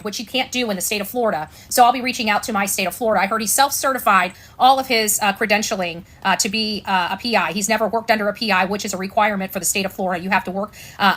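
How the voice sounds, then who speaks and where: A woman's voice: mid-range voice, a bit bright. An American woman giving informal, opinionated livestream commentary in a blunt, sarcastic way, repeating phrases for emphasis. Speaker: online commentator and show host.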